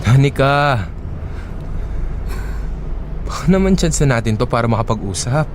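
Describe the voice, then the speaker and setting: A man's voice: medium pitch, expressive, measured pace, a little hiss or hum, lightly acted. Man playing a persistent young Filipino charmer. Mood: earnest, pleading.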